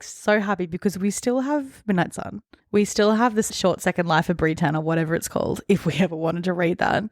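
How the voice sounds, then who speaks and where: A woman co-hosting a podcast, chatting casually about books in an expressive, giggly, self-deprecating way. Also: fairly low pitch, dry recording, studio quality. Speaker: podcast co-host. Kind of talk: casual book discussion chat.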